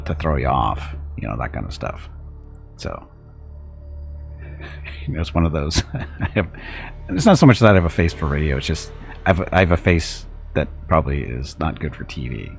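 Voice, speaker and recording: A middle-aged man with an extremely low, gravelly voice, casually chatting while he plays a game. His delivery is rambling and self-deprecating, with a lively, swooping pitch, and faint game music plays behind him. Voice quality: deep. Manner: rambling, self-deprecating, reads on-screen text aloud. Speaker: streamer. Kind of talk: casual let's-play commentary.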